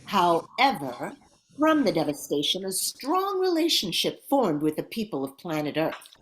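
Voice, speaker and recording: A woman's voice: on the low side, big pitch swings, moderate pace, a bit bright, rough recording. A woman narrating a scripted radio play in a dramatic storytelling manner. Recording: faint background noise, clicks.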